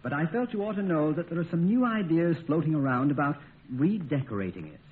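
An actor playing a smug, unflappable lawyer and family friend in a 1950s radio comedy, wry and teasing. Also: male voice, a little high, lively intonation, brisk, close to an everyday voice. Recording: tinny, noisy 1950s radio recording.

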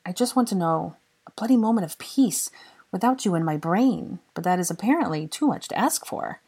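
A woman voicing a bright, bossy young woman in love, emotional, indignant and exasperated, in dramatic audiobook dialogue. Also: fairly low pitch, lively intonation, moderate pace, breathy edge, pitched above the performer's own voice. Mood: tearful, indignant.

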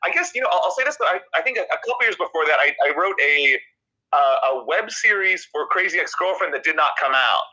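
A man's voice: on the high side, expressive, sharp tone, rough recording. A man in his thirties with a nasal voice, a TV comedy writer chatting as a podcast guest, restarting sentences often. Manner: halting, many restarts and fillers. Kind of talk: casual interview chat.

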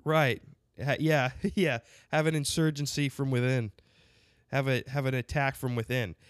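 A man's voice: medium pitch, fairly even intonation, normal speed, dry recording, studio quality. Sarcastic male podcast host with a cold. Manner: rambling and sarcastic, with many fillers and restarts. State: has a cold with a sinus infection. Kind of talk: casual podcast interview.

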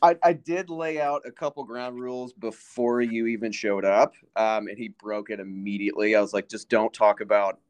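A man co-hosting a relaxed podcast chat, his voice sitting a little low. He keeps things laid-back and drops jokes with a dry touch. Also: moderate pace, hardly any pauses, clear, resonant tone. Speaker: podcast co-host. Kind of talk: casual chat.

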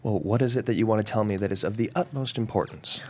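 A man's voice: lowish voice, fast talker, darkish tone, slight background noise, natural voice. Man acting an irritable, skeptical businessman. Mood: irritated, impatient. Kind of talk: table read of a thriller script.

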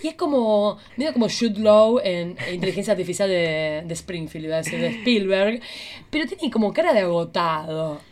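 Female podcast host, Argentine accent, mid-pitched voice, casual conversational delivery. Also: animated, normal speed, darkish tone, slight background noise. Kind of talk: casual chat.

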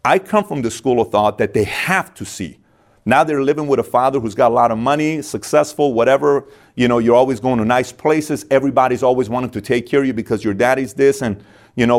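A man from Iran in his thirties, speaking as an interview guest, emphatic and repeating lines for stress. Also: mid-range voice. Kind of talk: candid interview talk.

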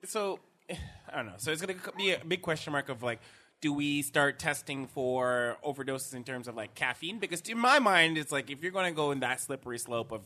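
A man presents an esports news segment in a loose, rambling podcast chat. His voice is bright and forceful, and his delivery is relaxed, full of asides and laughter. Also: on the high side, a little fast.

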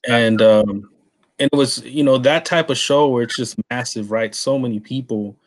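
A man's voice: medium pitch, moderate pace, poor audio. Casual American male interviewer. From San Antonio, Texas, USA.

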